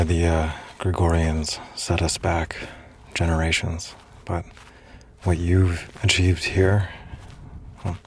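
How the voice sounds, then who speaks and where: An actor playing an awkward, earnest space commander who stammers and mutters nervously to himself in an audio drama. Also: male voice, a little hiss or hum. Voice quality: low, gravelly. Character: awkward, earnest space commander, self-deprecating emissary, smitten. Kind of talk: immersive first-person audio drama.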